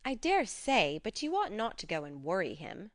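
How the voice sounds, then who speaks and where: A woman voicing a spirited, frank young woman who talks briskly and coaxingly. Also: mid-range voice, wildly swooping pitch, no room sound.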